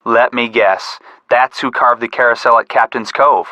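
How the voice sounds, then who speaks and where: A man acts a level-headed young male detective in a game. His voice is bright and medium in pitch, on dry, slightly lo-fi audio. He is encouraging and gently teasing. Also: steady tone, moderate pace, no room sound, natural voice. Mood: friendly, encouraging. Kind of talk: scripted game dialogue.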